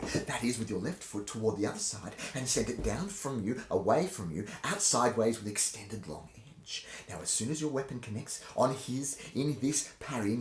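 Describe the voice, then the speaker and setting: Theatrical man with a very animated delivery. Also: mid-range voice, slight background noise. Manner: theatrical, emphatic delivery with dramatic pauses. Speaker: host reading aloud from a historical treatise. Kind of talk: casual intro, then dramatic reading of an old fencing manual. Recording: roomy, echoing indoor sound.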